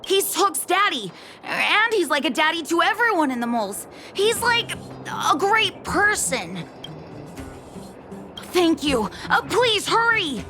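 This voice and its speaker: Naive young child for a game, anxious, earnest, high and sharp. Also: female voice, sing-song, slow pace, faint music behind, exaggerated voice. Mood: earnest, pleading. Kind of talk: video game voice acting.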